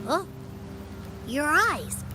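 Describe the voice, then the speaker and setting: High, lively young game heroine. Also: female voice, very slow, background noise, heavily acted. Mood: surprised, sheepish. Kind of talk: game character dialogue.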